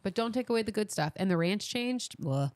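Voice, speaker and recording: A woman's voice: mid-range voice, lively intonation, a little fast, a little mellow, no room sound, studio quality. A middle-aged woman co-hosting a podcast, trading casual banter in a blunt, informal way. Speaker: podcast co-host.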